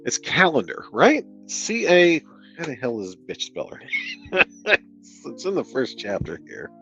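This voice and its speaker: A man's voice: medium pitch, huge pitch swings, unhurried, gravelly, a bit bright, slightly rough, muffled recording, faint music behind. A man from the Detroit area with a Midwestern American accent, casually and sarcastically reviewing a book on a livestream, swearing and laughing as he goes. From Detroit area, United States. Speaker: streamer reviewing a novel.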